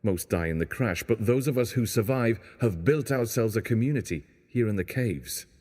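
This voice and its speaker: A man's voice: fairly low pitch, animated, normal speed, a little mellow. A man playing a weary, pessimistic stranded engineer in an audio drama, talking in a grave way. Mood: resigned. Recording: long hollow reverb.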